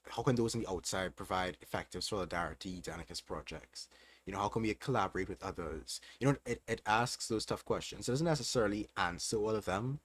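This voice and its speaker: Brief: young Trinidadian man, very low voice, relaxed livestream host answering chat, loose and unscripted. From Trinidad and Tobago. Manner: relaxed, thinking aloud with fillers.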